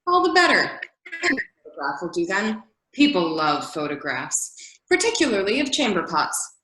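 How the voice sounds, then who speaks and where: A woman's voice: mid-range voice, big pitch swings, moderate pace, sharp tone. Woman acting an exacting, swooping coach, echoey room. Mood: bossy, emphatic. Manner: insistent, emphatic. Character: exacting, inquisitive woman, bossy coach of storytelling. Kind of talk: readers theater dialogue. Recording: echoey, roomy sound.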